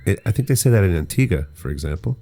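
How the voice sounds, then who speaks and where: Male podcast host, very low voice, chatty and rambling, faint music underneath. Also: very animated, fast talker, mellow. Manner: rambling, jokey, explains slang. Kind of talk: casual chat.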